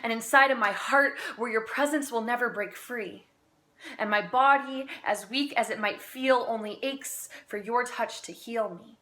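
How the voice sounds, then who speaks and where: A woman with a fairly high voice performs slam poetry. She delivers it earnestly, shaping each phrase deliberately and leaving dramatic pauses between them. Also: sharp tone. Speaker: amateur slam poet. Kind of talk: spoken-word slam poetry recital.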